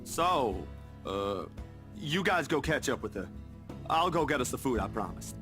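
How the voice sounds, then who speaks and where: Man acting friendly young guy, folksy, soothing, lively intonation, visual novel game, music behind. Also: medium pitch, unhurried. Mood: cheerful. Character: friendly, easygoing young male peacemaker.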